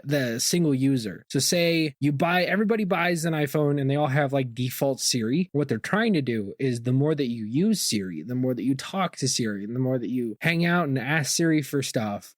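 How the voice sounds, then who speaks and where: A man's voice: mid-range voice, dry recording, clean studio sound. A young man hosting a podcast, rambling casually and informally with slangy, profane turns of phrase. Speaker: podcast host.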